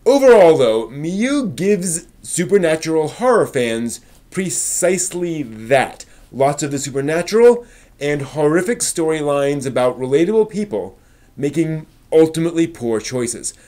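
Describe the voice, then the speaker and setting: A man hosting a review stream, reading scripted commentary with emphatic, measured phrasing and casual conversational asides. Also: fairly high pitch, very animated, mellow. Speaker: host of an anime review live stream.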